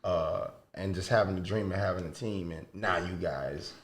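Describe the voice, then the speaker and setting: Low-voiced man hosting in an echoey room. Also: normal speed. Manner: informal, slangy, emphatic repetition. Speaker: podcast host. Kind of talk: casual interview chat. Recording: roomy, echoing sound.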